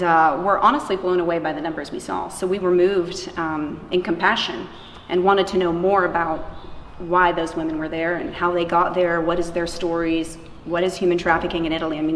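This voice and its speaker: A woman giving earnest, conversational answers as an interviewee about her work. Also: on the low side. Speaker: interviewee, missionary running an anti-trafficking organization. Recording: echoey reverberant room, faint noise.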